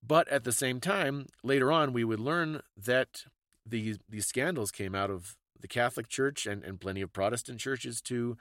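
A man's voice: mid-range voice, normal speed, a bit bright, no echo. Male podcast co-host, reflective and conversational explainer, very dry recording.

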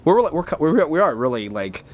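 A man's voice: fairly high pitch, big pitch swings, normal speed. A man who works at a bar, talking in casual chat, rambling and chatty with frequent restarts and filler words. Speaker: bar worker.

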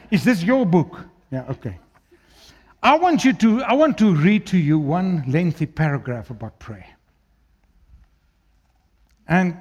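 A man from South Africa with an Afrikaans accent preaching a sermon, swinging between loud declaiming and quiet, low asides. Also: breathy edge.

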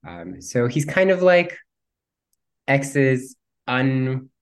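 A man's voice: medium pitch, big pitch swings, drawn-out words. A man whose first language is Spanish, chatting casually as a guest actor, playful with many ums and easy laughs. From Cuban heritage. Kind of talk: casual interview chat.

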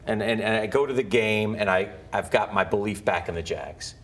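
A man's voice: fairly low pitch, normal speed, fairly bright tone. A man co-hosting a sports podcast, talking in a casual, frustrated fan's way with many restarts. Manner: frustrated fan, repetitive restarts.

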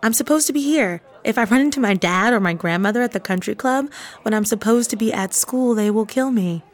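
A young woman acting in a radio drama as a blunt, protective teenage high school girl who questions her friends emphatically. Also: a little fast, lightly acted. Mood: casual, evasive.

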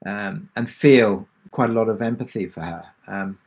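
A man answering questions on a discussion panel as a drama creator, speaking reflectively and haltingly with many pauses. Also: lowish voice, darkish tone, husky. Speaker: audio drama creator and producer.

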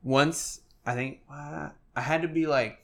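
A man's voice: on the high side, fairly even intonation, unhurried, creaky. A man in his twenties, born in Mexico, chatting loosely as a podcast host, with lots of fillers and casual swearing. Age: 20s. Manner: loose and conversational, many "like" fillers, swears freely. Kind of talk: casual chat.

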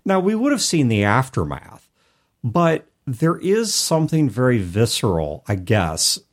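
A man's voice: mid-range voice, sing-song, unhurried. A man hosting a casual podcast discussion about tabletop gaming, explaining at length in a reflective way with hedging asides. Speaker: podcast host. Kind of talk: casual discussion of tabletop role-playing games.

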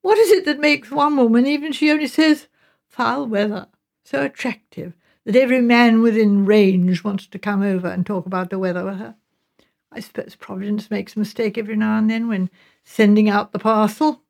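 A woman's voice: mid-range voice, a little fast, vocal fry, a little mellow, close to an everyday voice. A woman voicing a spirited, outspoken young English sister in an audiobook, chatty, emphatic and teasing. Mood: musing, playful.

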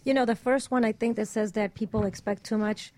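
A woman's voice: a little high, fairly even intonation, fairly quick, a little mellow, no echo. A woman co-hosting a radio talk show, giving her opinions in blunt, casual chat. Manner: blunt, explicit.

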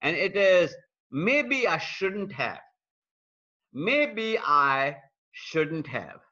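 A man preaches to an online congregation in a high, bright voice. He stacks repeated phrases and addresses viewers directly as he builds each point. The stream audio sounds dull and lo-fi. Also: very animated, very slow. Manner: repetitive, emphatic preaching cadence. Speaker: pastor. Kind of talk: online sermon. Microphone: streaming call mic.

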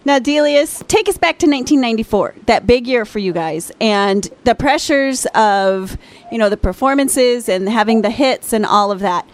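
A woman hosting a radio show, asking guests questions briskly and upbeat. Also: a little high. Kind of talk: radio interview.

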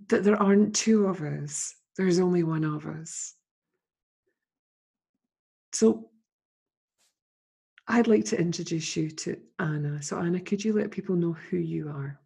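A woman's voice: fairly low pitch, vocal fry, mellow, slightly rough. A woman hosting a podcast, introducing her guest in a casual, heartfelt way. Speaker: podcast host. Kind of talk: casual interview conversation.

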